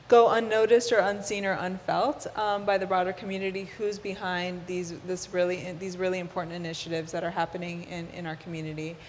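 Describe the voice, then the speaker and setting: A woman hosting a community radio interview, speaking warmly and with enthusiasm, with some "um"s. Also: fairly low pitch, long reverb tail, slight background noise. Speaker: host.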